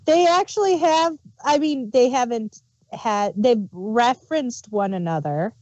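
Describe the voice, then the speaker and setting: A woman co-hosts in an easy, conversational style. Her voice is fairly high yet soft-edged and dark in tone. The recording is dry and a little muffled. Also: animated, a little slow, no room sound. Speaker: podcast co-host reviewing audio dramas. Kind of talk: casual chat.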